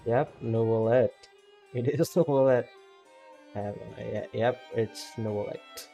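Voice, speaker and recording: A man streaming a game, making relaxed, mumbled asides. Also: medium pitch, slow, gravelly, soft background music. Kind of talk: casual game commentary.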